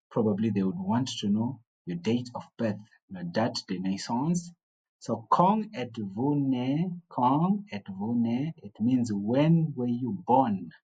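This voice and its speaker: A man teaching beginner French, repeating each phrase slowly and patiently. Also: medium pitch, a little mellow, muffled recording, a little hiss or hum. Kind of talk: beginner language lesson.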